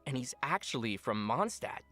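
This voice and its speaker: A man's voice: medium pitch, big pitch swings, normal speed, fairly bright tone, no echo. A man co-hosting a game preview show, delivering scripted updates with some light banter.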